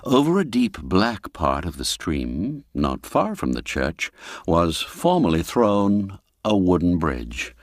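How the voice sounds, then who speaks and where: A man reading a classic gothic story as an audiobook narrator, in a measured, literary, atmospheric style with music behind him. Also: low-pitched, very animated, no echo, studio quality.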